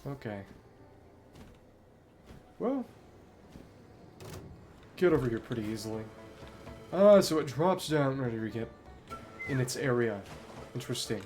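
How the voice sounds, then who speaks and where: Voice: medium pitch, animated, measured pace, pauses often, darkish tone, faint music behind. A streamer playing a video game with casual commentary, thinking aloud in fragments and exclamations.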